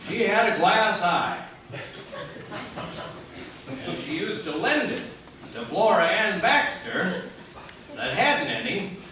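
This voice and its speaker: A bright-voiced southern storyteller played by a man rambles fondly and earnestly through a tall tale. The voice swings high and animated, from far back in a very echoey hall. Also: takes it slowly, long pauses, full character voice. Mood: earnest, rambling. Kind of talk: folksy comic monologue on stage. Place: live radio theater stage. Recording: very echoey theater, far mic, faint noise.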